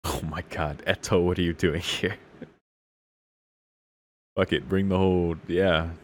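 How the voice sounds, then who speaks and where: A man's voice: sits low, fairly even intonation, measured pace. A man streaming a visual novel, reading it aloud and reacting casually in an irreverent, sweary way, with guitar music behind him. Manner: irreverent, foul-mouthed. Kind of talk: casual let's-play, reading game text aloud with reactions. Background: game music with guitar.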